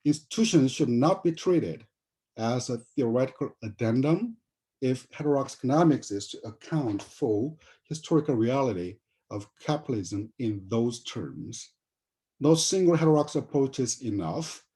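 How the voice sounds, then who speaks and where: A man's voice: medium pitch, expressive, warm tone. Man. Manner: halting, with slips and fillers. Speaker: session chair and presenter. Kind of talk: academic presentation.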